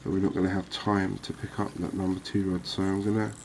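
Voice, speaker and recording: A man giving calm, unhurried ASMR-style commentary on a fishing game, explaining things as he plays. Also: low voice, creaky, slight background noise. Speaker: gaming video host.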